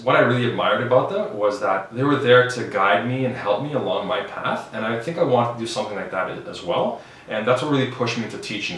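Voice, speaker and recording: A man from Canada talks about his life in a relaxed, thoughtful way, often pausing on fillers. His voice sits fairly low and rings around a very echoey room, over a faint background hiss. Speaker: vlogger, high school science teacher. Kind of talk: casual personal vlog.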